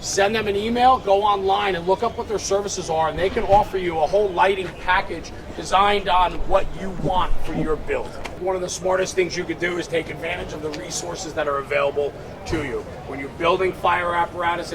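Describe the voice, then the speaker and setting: A man's voice: sits high, fairly even intonation, fairly quick, poor audio. A man hosting an unscripted equipment walkaround, enthusiastic and emphatic, often checking in with "right?". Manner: emphatic, engaged, peppers talk with "right?". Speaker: host of a fire apparatus walkaround. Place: outdoors at a busy convention. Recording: outdoor crowd and vehicle noise.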